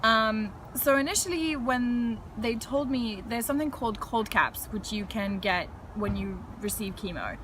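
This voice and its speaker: A woman's voice: on the high side, lively intonation, unhurried, hardly any pauses, background noise. A woman in her thirties from South Africa, telling her story as a guest in a casual interview, frank and chatty. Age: 30s. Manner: frank, chatty, laughs lightly while recounting.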